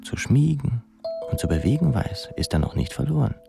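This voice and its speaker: An actor playing a wry, dreamy, self-mocking young pupil in a radio drama monologue, musing and halting in a theatrical way. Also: male voice, medium pitch, sing-song, vocal fry. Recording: music behind the voice.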